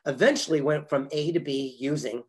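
An older man with a husky voice, telling motivational stories as a guest speaker in an emphatic, deliberate way on a video call. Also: a little high. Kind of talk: motivational storytelling. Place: indoor room on a video call.

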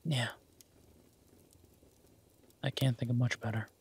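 Male, mid pitch, husky and unhurried: a man playing an affectionate, easygoing werewolf boyfriend for romantic audio roleplay. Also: steady tone, exaggerated voice. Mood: tender, hushed.

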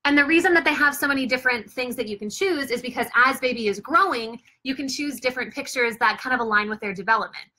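A young woman with a bright, forceful voice pitched a little high, talking chattily to camera as she demonstrates baby gear. She sounds upbeat and loose, with plenty of fillers. It is recorded from a few feet away on a living room floor. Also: quick pace, hardly any pauses. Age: young adult. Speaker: product reviewer and mother. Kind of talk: casual product demo to camera.

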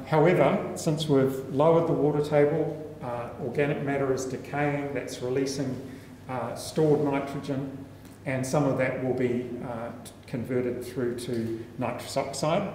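A man's voice: medium pitch, darkish tone. A man, giving a seminar talk as a university researcher, explaining step by step with frequent ums and restarts. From New Zealand. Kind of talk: conference talk. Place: meeting room, indoors. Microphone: stand mic at a lectern. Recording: echoey hall, faint room noise.

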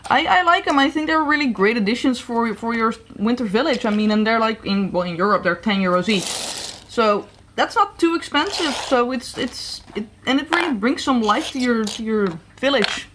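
A woman's voice: on the high side, lively intonation, moderate pace, fairly bright tone, slight background noise, rough recording. A woman from the Netherlands chatting casually through a toy review, hesitant with many fillers and restarts. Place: indoor room at a tabletop set.

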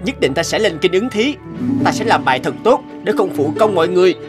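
An actor playing a poor, earnest young male scholar in an animated fairy tale, kind-hearted and plaintive. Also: medium pitch, quick pace, over music, close to an everyday voice. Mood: determined.

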